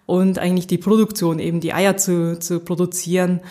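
Woman in her forties, fairly low voice, searching for words as an interview guest. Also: level tone, fairly quick, mellow. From Japan. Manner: hesitant, many fillers and restarts, light laughs. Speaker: interview guest, agricultural researcher. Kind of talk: casual interview.

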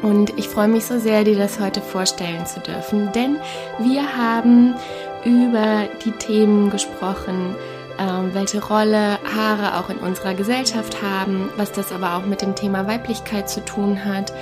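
Female podcast host, enthusiastic, mid-pitched, casual, music playing underneath. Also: steady tone, a little slow. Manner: enthusiastic, many fillers. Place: cozy living room.

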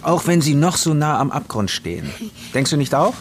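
An actor playing a vengeful man in an audio thriller, polite on the surface, deliberate and controlled. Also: on the high side, big pitch swings, background noise. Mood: cold menace. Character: vengeful man, polite and halting, coldly menacing. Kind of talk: naturalistic audio drama acting.

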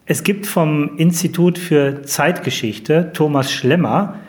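A man's voice: on the high side, takes it slowly, darkish tone. A mature man from West Germany asking serious, considered questions as an interviewer.

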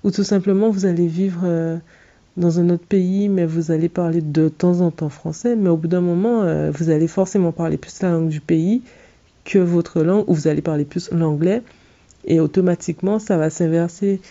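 A woman in her thirties talks straight to her listeners in a very dark, mellow voice. She is earnest and direct, often restarting her sentences. The sound is a little dull and lo-fi. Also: fairly low pitch, fairly even intonation, normal speed. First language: English. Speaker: podcast host and English coach. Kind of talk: solo podcast monologue giving advice.

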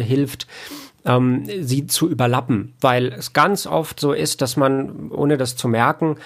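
A man, an audio producer talking as an interview guest, explaining things in a halting way and repeating words as he thinks. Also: mid-range voice, gravelly. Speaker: audio producer and sound engineer, podcast guest.

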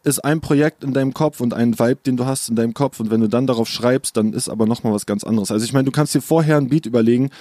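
Fast-talking slangy young man. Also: medium pitch, mellow, no echo. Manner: slangy, rambling, says "Digga" a lot. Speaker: rapper and producer. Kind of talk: casual interview chat.

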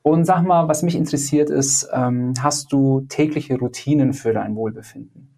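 Male podcast host, mellow and husky. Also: mid-range voice, moderate pace. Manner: friendly, upbeat questions. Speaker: podcast host and app founder. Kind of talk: podcast interviewing.

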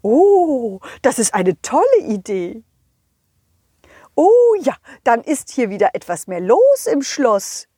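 A lonely king of a big castle, as a woman acts him in a children's audio play. He is polite and hesitant, with a husky voice that rises and falls freely. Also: fairly high pitch, sing-song, slow, dark tone, no echo, clean studio sound, heavily acted. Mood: delighted.

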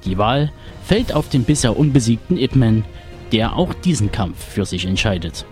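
A man's voice: fairly low pitch, animated, moderate pace, a little mellow, soft background music. A man reading a scripted film synopsis as a segment narrator.

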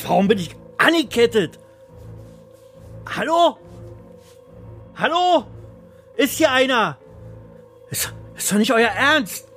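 A man's voice: extremely high pitch, animated, takes it slowly, long pauses, fairly bright tone, faint music behind, voice pushed up, full character voice. A man playing a timid, boring accountant in an audio drama, stammering, pleading and crying out in helpless panic. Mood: panicked.

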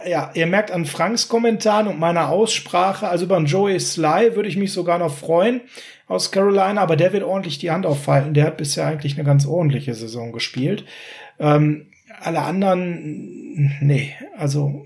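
A man's voice: fairly high pitch, normal speed, gravelly, darkish tone. A middle-aged man hosting a sports podcast, chatty and direct, speaking to his listeners and often tagging "ne?". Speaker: podcast host. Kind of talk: casual sports podcast discussion.

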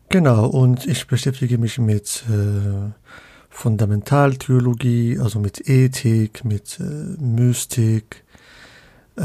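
A man's voice: on the low side, warm tone, dry recording. A man from Morocco, a guest scholar of Islamic theology in a podcast interview, speaking hesitantly with many fillers and restarts.

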